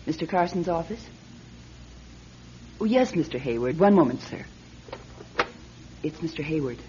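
A woman's voice: low-pitched, lively intonation, moderate pace. Polite, lilting female secretary. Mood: polite, concerned. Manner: deferential, tentative. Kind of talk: radio drama acting. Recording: dull lo-fi radio recording, faint noise.

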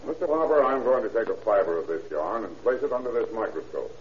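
A man playing a courteous, methodical defense attorney in an old-time radio courtroom drama, speaking formally and deliberately. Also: lowish voice, lively intonation, a little mellow, lightly acted. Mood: confident, formal. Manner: formal, deliberate, politely lawyerly. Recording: echoey, muffled old radio recording, faint hiss.

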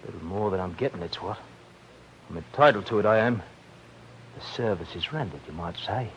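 An actor playing a menacing, sly thug in a 1940s radio drama, taunting with drawn-out words. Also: male voice, low voice. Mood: taunting menace. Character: menacing, mocking male thug lurking in the dark. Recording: noisy old radio recording.

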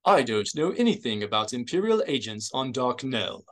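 A man's voice: medium pitch, sing-song, moderate pace, natural voice. A man playing a stern, suspicious military colonel in an audiobook, stiff, guarded and commanding. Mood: stiff, hard.

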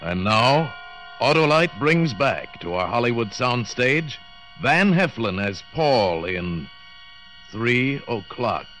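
Male announcer, unhurried pace, sweeping mid-pitched delivery, theatrical 1940s radio style, music behind. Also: very animated, fairly bright tone.

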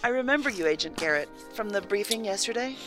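A woman's voice: medium pitch, expressive, fast, fairly bright tone, faint music behind, lightly acted. An actress playing a curt, guarded and formal female federal agent in a science fiction audio drama. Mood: cool, curt.

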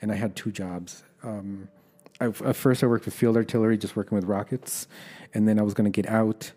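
Middle-aged American man, low mellow voice. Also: fairly even intonation, brisk. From Los Angeles, United States. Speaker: guest, army veteran. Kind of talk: candid conversational storytelling.

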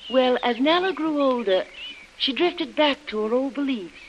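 An actress playing a composed, earnest plantation wife in 1930s radio style, speaking in measured phrases. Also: female voice, high-pitched, animated. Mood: grave, recounting. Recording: dull, noisy old radio recording.

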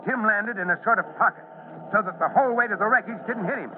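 Casting: male actor as urgent, authoritative fire chief, cautious leader, high register, classic radio drama on a tinny old recording. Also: fast, background noise, lightly acted. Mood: grave, firm. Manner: urgent, authoritative, giving orders.

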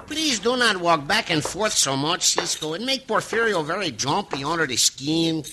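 A simple-minded, nervous Mexican sidekick from an old radio adventure, played by a man. His voice sits very high and jumps around anxiously in broken English. The old recording is heavily noisy. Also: lively intonation, moderate pace, lightly acted. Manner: broken English, speaks of himself by name. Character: simple, jumpy, fretful Mexican male sidekick.

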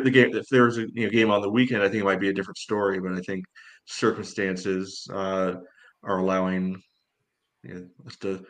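A man co-hosting a soccer podcast in casual discussion, rambling and often dropping "you know" into his sentences. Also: low voice. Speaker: soccer fan podcast co-host.

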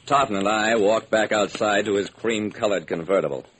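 A man's voice: lowish voice, moderate pace, noisy background. A man narrating a scripted radio detective drama in the first person, telling it dramatically. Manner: dramatic storytelling.

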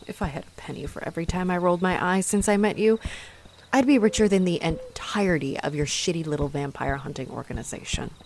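A woman's voice: lowish voice, fast, slight background noise, natural voice. A woman playing a haughty, sarcastic female vampire in a roleplay audio drama, mocking and theatrical as she taunts her rival. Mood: haughty, menacing.

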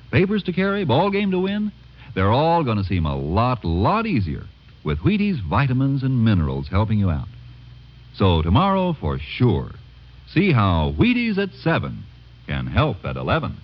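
Male 1950s commercial announcer, folksy upbeat sell, highly animated inflection, dull vintage recording. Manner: folksy, upbeat sales patter. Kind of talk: 1950s radio ad pitch. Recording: muffled vintage radio recording.

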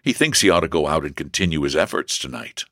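A man's voice: a bass voice, lively intonation, quick pace, a bit bright, dry recording, studio quality, heavily acted. A man voicing a good-humored, affectionate young brother in a storybook reading. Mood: earnest.

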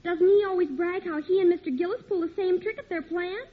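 An actress playing a dramatic, wheedling American teenage daughter in a 1940s radio sitcom. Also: female voice, very high voice, brisk. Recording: tinny old radio recording.

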